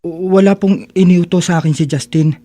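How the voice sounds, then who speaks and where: A man's voice: sits high, fairly even intonation, normal speed, mellow, close to an everyday voice. A man playing a young, loyal and apologetic friend in a radio drama, with a polite, pleading manner. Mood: meek, pleading. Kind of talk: Tagalog radio drama acting.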